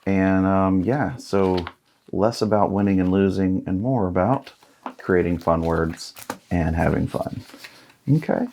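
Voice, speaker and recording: A man's voice: sits low, measured pace, darkish tone, a little hiss or hum. A man in his thirties giving laid-back, casual unboxing commentary as a host, musing aloud and reading out bits as he goes. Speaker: board game unboxing host.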